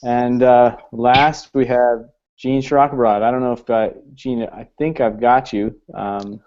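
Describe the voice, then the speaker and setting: A man's voice: medium pitch, steady tone, measured pace. A man hosting a live online panel discussion, talking loosely with frequent fillers. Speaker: host. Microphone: video call. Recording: slightly muffled video-call audio.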